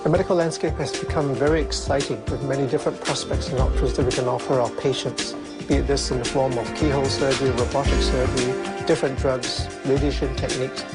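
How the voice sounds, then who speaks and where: A man speaking as a medical specialist in an ad clip, in an informative, measured way. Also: mid-range voice, steady tone, loud music behind the voice.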